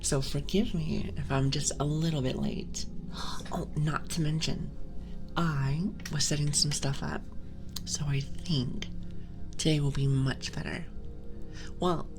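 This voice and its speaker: A woman's voice: deep voice, fairly even intonation, slow pace, pauses often, over music. A woman streamer whispering and chatting casually to her viewers through a binaural mic, sniffling with a stuffy nose. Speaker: ASMR streamer. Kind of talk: whispered ASMR livestream chat. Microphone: binaural ASMR microphone.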